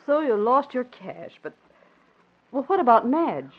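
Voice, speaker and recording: Earnest, very expressive probing woman. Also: a little high, measured pace. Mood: probing, sympathetic. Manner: earnest, questioning, emphatic. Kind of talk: 1940s radio drama acting. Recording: dull old radio recording.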